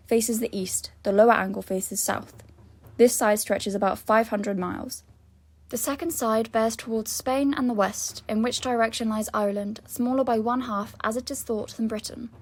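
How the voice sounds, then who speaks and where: A young woman, a student, giving a measured, formal dramatic reading of a historical text. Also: fairly high pitch. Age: young adult. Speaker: student reader.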